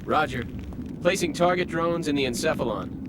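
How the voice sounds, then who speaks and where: Actor as a higher-voiced, obliging young male technician. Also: normal speed, noisy background, natural voice. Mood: helpful, focused. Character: obliging young male lab technician assisting his chief. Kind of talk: video game voice acting.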